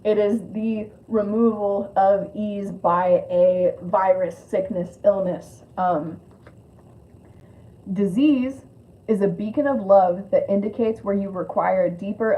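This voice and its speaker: A woman's voice: medium pitch, fairly even intonation, measured pace. A woman with a lisp giving a spiritual card reading on a live stream, moving between reading aloud and informal, rambling chat. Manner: informal, rambling asides with swearing. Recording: dull lo-fi audio with clear noise.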